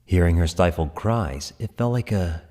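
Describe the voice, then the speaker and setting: A man playing a brooding, manipulative young gang leader, narrating his dark story in a cold, deliberate, dramatic way. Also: sits low, expressive. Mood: cold, menacing. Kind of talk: scripted dramatic first-person narration. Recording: long reverb, loud music bed.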